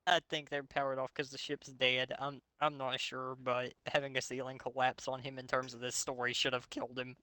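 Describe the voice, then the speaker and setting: A man's voice: mid-range voice, little pitch movement, fairly quick, hardly any pauses, sharp tone, dry recording. A man hosting a reading of fan fiction aloud, breaking off into sarcastic, sweary commentary. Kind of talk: mocking dramatic reading with casual commentary.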